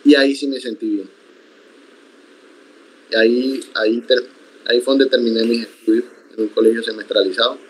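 Young man speaking in measured tones. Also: mid-range voice, fairly even intonation, rough recording. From Sierra Nevada de Santa Marta, Colombia. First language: Iku. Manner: measured, reflective, with frequent restarts. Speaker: interview guest, accordionist. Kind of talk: conversational interview. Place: home room, indoors.

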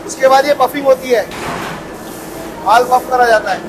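A man showing visitors around a footwear factory, pitching its products with eager, insistent promises. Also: moderate pace. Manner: eager salesman, keeps inviting and promising quality. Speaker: footwear factory owner giving a tour. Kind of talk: sales pitch factory tour. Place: footwear factory floor, indoors. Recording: noisy factory machinery behind.

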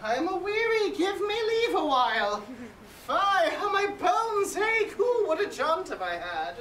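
A high-pitched, very animated old nurse played by a woman in a Shakespeare play, fussy and bawdy. She rambles and exclaims with theatrical groans, heard in an echoey room from a distance. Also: a little slow, full character voice. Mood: weary complaining. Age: older. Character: bawdy, fussy old nurse, weary and teasing, fond of her charge. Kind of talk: Shakespearean stage acting. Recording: echoey room, distant mic, faint noise.

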